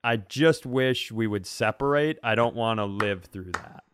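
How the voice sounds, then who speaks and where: A man's voice: fairly low pitch, lively intonation, a little slow, noisy background. A man co-hosting a comedy podcast, telling stories in a casual, self-deprecating and sarcastic way, with casual swearing. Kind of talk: casual comedic chat and storytelling.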